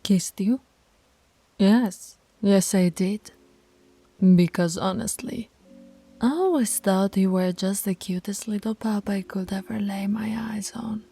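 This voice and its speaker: Woman acting a slow, husky alpha she-werewolf. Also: medium pitch, warm tone. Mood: teasing, smug. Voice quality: breathy, husky. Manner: slow, deliberate, commanding. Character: dominant female alpha werewolf, stern yet teasingly affectionate. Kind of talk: intimate ASMR roleplay voice acting.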